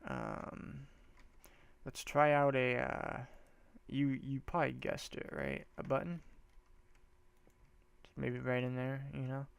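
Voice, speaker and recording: A man's voice: mid-range voice, little pitch movement, slow pace, vocal fry, long pauses, clean tone, dry recording. A congested man casually talking through a building game, rambling and thinking aloud in a loose, slangy way. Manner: rambling, loose, trails off and restarts often; slangy and laid-back. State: congested, stuffy nose. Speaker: gameplay video host. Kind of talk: casual let's-play commentary, thinking aloud.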